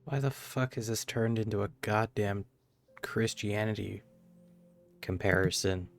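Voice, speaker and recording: A man's voice: lowish voice, measured pace, faint music behind. A man streaming a game, giving casual, blunt commentary with exasperated swearing. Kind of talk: live gaming commentary, casual.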